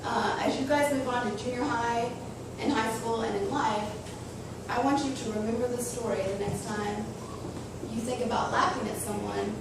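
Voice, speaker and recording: A woman with a raspy voice speaks earnestly to schoolchildren in a slightly high register. The sound is distant and boomy, caught in a hard, echoing school hall with steady noise underneath. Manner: earnest, slow and deliberate with children. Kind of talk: personal talk on choices. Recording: echoey room, distant mic, noisy.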